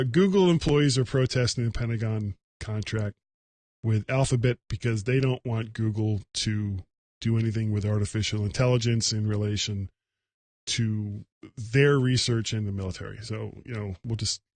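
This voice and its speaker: A man hosting a casual solo tech livecast, talking in a rambling, halting, self-deprecating way. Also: on the low side, steady tone, darkish tone, dry recording.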